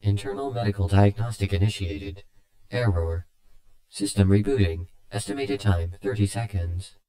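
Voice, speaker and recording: Man voicing a flat, echoing metallic suit computer. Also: on the low side, normal speed. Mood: impassive. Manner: flat, clipped status announcements. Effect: echoing robot voice filter. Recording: heavily echoing, distant-sounding processed voice.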